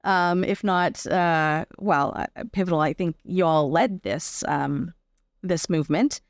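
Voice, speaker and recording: A woman's voice: on the low side, unhurried, dry recording, clean studio sound. A Canadian woman giving reflective, explanatory answers as a guest in a conversational interview. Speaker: interview guest, performer and advocate.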